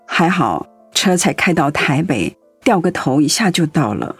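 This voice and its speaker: A woman's voice: deep voice, sing-song, a little slow, a bit bright, slightly rough, faint music behind, full character voice. A woman playing a devoted, anxious middle-aged wife in a radio drama, earnest and full of concern. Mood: worried.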